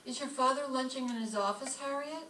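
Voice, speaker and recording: Woman acting a sarcastic lady schoolteacher, deadpan radio comedy, roomy and far from the mic. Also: high voice, a little fast. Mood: breezy, sarcastic. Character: wry, sharp-tongued single woman schoolteacher. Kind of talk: staged old-time radio comedy acting. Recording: distant roomy stage recording, faint noise.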